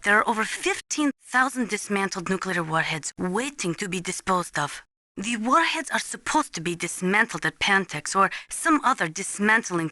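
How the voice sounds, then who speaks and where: A woman's voice: mid-range voice, bright, forceful tone, no room sound. A woman voicing a serious, knowledgeable military analyst in a video game, briefing in a precise, formal, instructive way.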